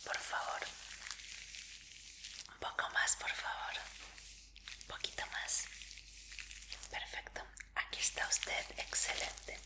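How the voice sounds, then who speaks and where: A woman doing a whispered ASMR medical roleplay in a breathy voice, speaking politely and reassuringly as an examiner. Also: slow, long pauses.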